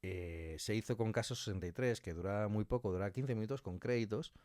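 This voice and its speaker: A man's voice: lowish voice, brisk, a little mellow, no room sound, studio quality. A man talking as a podcast guest about his creative work, emphatic and fond of acting out little quotes. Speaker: podcast guest, audio fiction writer and director. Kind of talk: animated interview conversation.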